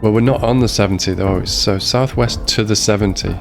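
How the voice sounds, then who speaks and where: A man's voice: on the low side, fairly quick, vocal fry, over music. A man talking through a geography game, muttering his thoughts, his voice strained as if a cold is coming on. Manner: muttering thoughts aloud while searching. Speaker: streamer playing a geography game. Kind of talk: casual gameplay commentary.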